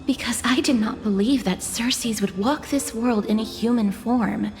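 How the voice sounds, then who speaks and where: A woman's voice: on the high side, unhurried, close to an everyday voice. An actress playing a young woman scholar in a video game, polite, inquisitive and logical, speaking in an earnest, precise, articulate way. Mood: earnest, inquiring. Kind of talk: scripted video game voice acting. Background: faint game music.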